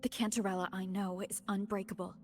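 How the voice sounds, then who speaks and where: A woman's voice: mid-range voice, little pitch movement, brisk, music in the background, natural voice. Woman acting a firm, fiercely loyal companion. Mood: defiant. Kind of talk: video game voice acting.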